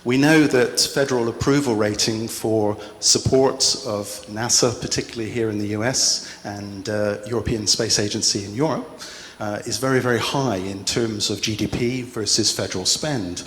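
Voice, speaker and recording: A man's voice: fairly low pitch, normal speed, hardly any pauses. Man presenting in an echoey hall. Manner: self-deprecating, relaxed presenter with frequent "uh" fillers. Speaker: conference speaker. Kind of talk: conference presentation. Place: large conference hall. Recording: echoey hall with faint noise.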